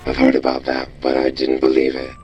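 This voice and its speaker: A man voicing a gruff robot boss in a video game, terse and authoritative as he hands out missions. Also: very deep voice, completely flat delivery, fairly quick, darkish tone, muffled recording, faint music behind. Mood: grave, urgent. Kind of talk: scripted game dialogue.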